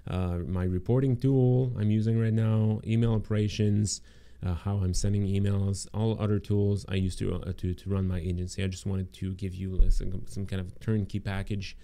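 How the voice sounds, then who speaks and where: Man in his thirties, low mellow tone, tired throaty voice, casual filler-heavy livestream pitch. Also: little pitch movement, moderate pace. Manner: rambling, many fillers and restarts. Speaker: agency owner presenting course bonuses. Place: home room indoors. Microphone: close studio mic in frame.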